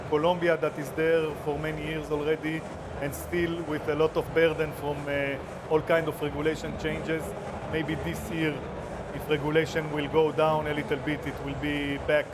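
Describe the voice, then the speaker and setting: A man answering questions as a panelist, talking in halting phrases broken by many filler pauses. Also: a little high, background noise. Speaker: panelist, online gaming executive. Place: event studio at a trade show.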